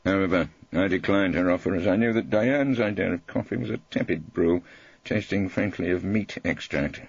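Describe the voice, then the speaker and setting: A man's voice: low-pitched, moderate pace, creaky, slightly rough, dry recording, muffled recording. A man reading a wry first-person story as an audiobook narrator.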